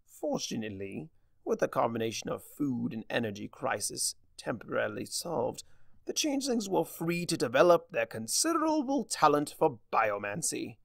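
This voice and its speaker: Trickster spirit, played by a male narrator: theatrical, smug, grandly explanatory, highly animated pitch, touch of gravel. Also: moderate pace, dry recording, clean studio sound, exaggerated voice. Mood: sardonic, lecturing. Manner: drawling, mocking, grandly explanatory.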